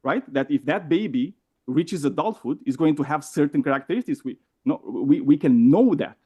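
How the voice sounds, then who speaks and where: A man with a Romanian accent arguing in a heated debate, animated and repeating words, often asking "right?". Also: on the high side, moderate pace, slightly rough.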